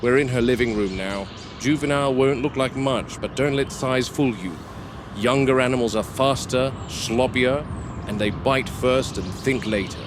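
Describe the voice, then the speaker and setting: A man playing a calm, commanding veteran game warden in found-footage horror, speaking in measured, terse, authoritative phrases. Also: medium pitch, noisy background, close to an everyday voice. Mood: focused, commanding. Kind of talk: found-footage horror film acting and log narration. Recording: VHS-style found footage, music underneath.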